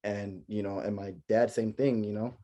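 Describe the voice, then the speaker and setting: Young man, mellow voice, native spanish speaker, casual podcast host, animated storyteller full of fillers. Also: fairly low pitch, moderate pace. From United States. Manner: animated storytelling with many fillers and acted-out reactions. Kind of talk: casual chat.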